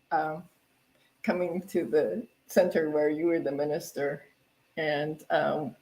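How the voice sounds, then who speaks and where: A woman's voice: fairly low pitch, darkish tone, poor audio. A woman minister speaking informally at a spiritual center service, in a conversational, rambling way that often corrects itself. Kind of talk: informal church talk on giving.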